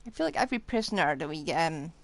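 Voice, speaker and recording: A woman's voice: low voice, big pitch swings, fast talker, vocal fry, no echo. A woman live-streaming a game, giving casual commentary with reactive, wry asides.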